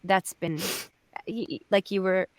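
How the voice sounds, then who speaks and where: A woman's voice: mid-range voice, steady tone, measured pace, dry recording, studio quality. A woman chatting casually as a voice actor on a panel. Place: home, on a video call.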